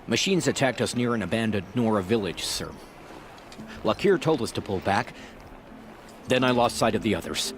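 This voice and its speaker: Male actor as a dutiful game soldier, fairly low voice, stiff, clipped military delivery. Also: animated, normal speed, pauses often, faint music behind, close to an everyday voice. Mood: dutiful, defensive. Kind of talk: video game dialogue acting.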